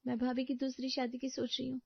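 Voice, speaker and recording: A woman's voice: high voice, monotone, quick pace, creaky, slightly rough, dry recording, voice pushed up, heavily acted. Woman doing a fired-up protective young sister-in-law, insistent, dull dry audio. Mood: fervent. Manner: insistent, fervent.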